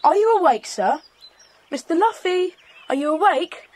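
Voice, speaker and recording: A well-mannered English schoolchild on a camping adventure in a radio play. The voice is high and very expressive, brisk and polite. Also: female voice. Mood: puzzled. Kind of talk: children's audio drama acting.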